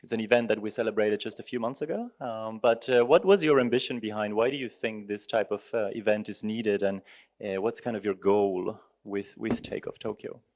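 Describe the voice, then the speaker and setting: A man's voice: fairly low pitch, fairly quick, darkish tone. A man moderating a panel discussion, introducing speakers and asking questions in an easygoing way.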